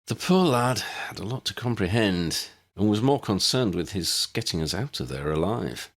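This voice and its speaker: A man voicing a courteous older gentleman scholar in a storybook, speaking in a formal, old-fashioned, measured way. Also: lowish voice, big pitch swings, pitched above the performer's own voice. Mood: wistful.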